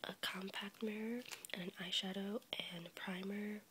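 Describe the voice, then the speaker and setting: A woman's voice: mid-range voice, steady tone, normal speed, rough recording. Whispering young woman with a cold. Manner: soft unvoiced whisper, rambling with fillers and small laughs. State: sick with a cold, congested. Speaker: ASMR YouTuber. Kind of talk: whispered ASMR ramble. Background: crinkling packaging.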